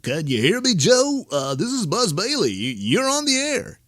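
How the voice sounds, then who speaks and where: A man's voice: high voice, wildly swooping pitch, normal speed, fairly bright tone, dry recording, clean studio sound, voice pushed up, heavily acted. A man playing a chipper, unflappable call-in show host in a talk radio parody. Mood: upbeat.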